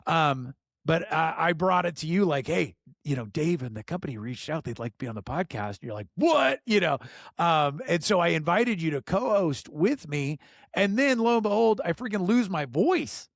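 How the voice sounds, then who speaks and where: A man's voice: fairly high pitch, big pitch swings, moderate pace, creaky, no echo, clean studio sound. Enthusiastic man hosting a podcast. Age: middle-aged. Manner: enthusiastic, laughing often, many fillers. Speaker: podcast host. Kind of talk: casual podcast chat.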